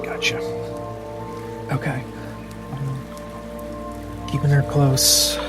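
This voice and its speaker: A man playing a tabletop game, describing his character's actions in an understated, tentative way. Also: mid-range voice. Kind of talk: roleplay narration with in-character lines. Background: music and rain sounds.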